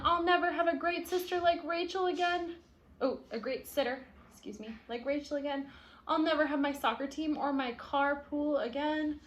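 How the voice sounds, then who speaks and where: A woman's voice: high-pitched, fairly bright tone. A woman reading the part of a stubborn, indignant young boy from a picture book, with emphatic delivery. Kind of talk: picture-book read-aloud.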